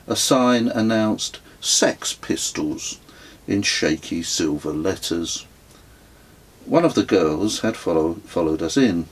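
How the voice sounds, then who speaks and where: A middle-aged man narrates a written memoir in a deep voice with a husky grain. He takes his time, telling the story in a measured, literary way, as an author reading his own audiobook.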